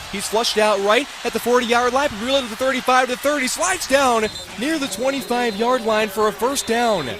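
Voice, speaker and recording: Young american guy doing football play by play, high pitched, excitable n punchy, bit of stadium noise. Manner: excitable, punchy clipped phrasing. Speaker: radio play-by-play announcer. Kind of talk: live football play-by-play commentary. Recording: live stadium ambience noise.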